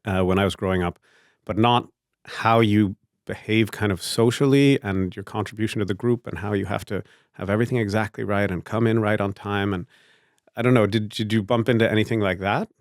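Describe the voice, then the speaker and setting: A man's voice: on the low side, fairly even intonation, a little fast, no room sound, clean studio sound. A man hosting an interview show, asking curious, conversational questions with hesitant restarts. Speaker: podcast host.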